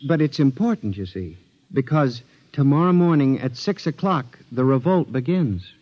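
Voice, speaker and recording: A man's voice: mid-range voice, expressive, normal speed, dark tone, highs cut off, close to an everyday voice. Frantic, paranoid actor playing a man, swooping intensity. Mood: desperate, insistent. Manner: agitated, pleading, intense. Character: frantic, paranoid accused man convinced machines are alive. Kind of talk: 1950s radio drama acting. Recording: band-limited 1950s radio recording, music behind.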